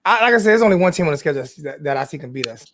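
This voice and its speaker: A man's voice: fairly high pitch, expressive, rapid-fire, fairly bright tone. A man in his forties in a casual sports chat, repeating his phrases for emphasis. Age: 40s.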